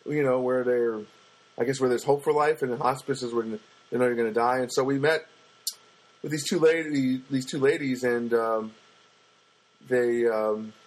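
A man with a booming voice telling a personal story in a casual monologue, halting often with fillers and restarts. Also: low-quality recording. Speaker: storyteller recounting a personal loss.

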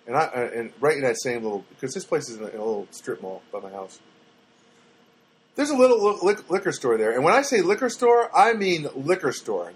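A man's voice: mid-range voice, slightly rough, poor audio. A man telling stories in a casual, rambling and sarcastic monologue, with many fillers and restarts.

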